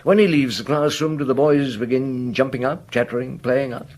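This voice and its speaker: A man playing a patient, probing chief inspector in a radio drama, questioning deliberately with musing hums. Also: mid-range voice, a little mellow, natural voice. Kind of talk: radio drama acting and first-person narration.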